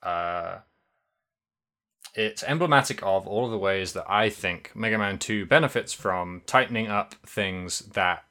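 A man's voice: on the low side, a little slow, bright, forceful tone. A man co-hosting a podcast, chatting casually about a video game, rambling with many fillers and restarts and laughing often. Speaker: podcast co-host.

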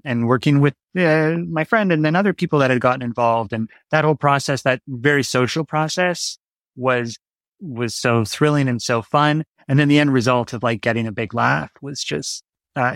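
Man, medium pitch, reflective conversation, halting phrasing, interview guest, close dry acoustics. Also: fairly bright tone, no room sound.

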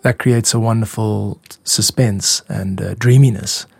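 A man hosting a podcast, explaining his own music in a measured, reflective way. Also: on the low side, fairly even intonation, vocal fry. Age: adult. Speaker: podcast host and music producer.

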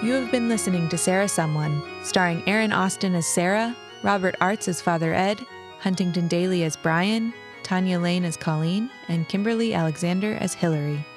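A woman's voice: lowish voice, normal speed. A woman reading scripted closing credits as the announcer of an audio play. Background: plucked guitar music.

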